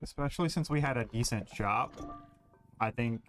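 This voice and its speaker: A man's voice: medium pitch, normal speed, soft background music. A man streaming a game, chatting casually and thinking aloud with hesitant fillers. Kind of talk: casual gaming commentary.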